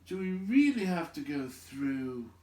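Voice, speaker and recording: A man's voice: on the high side, big pitch swings, a little slow, mellow, echoey room, voice pushed up. A man voicing a small, anxious, reluctant hobbit from a storybook, with a pleading, groaning manner. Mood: dismayed.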